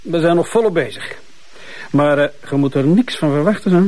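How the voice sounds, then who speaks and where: An actor playing a brisk, wry investigator in a TV crime drama. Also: male voice, on the high side, darkish tone, natural voice, rough recording. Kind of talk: naturalistic TV crime drama acting.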